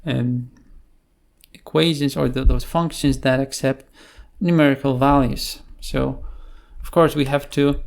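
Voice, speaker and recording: A man giving an unscripted tutorial explanation in a halting way, with many filled pauses. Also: medium pitch, steady tone, gravelly. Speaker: programming tutorial presenter.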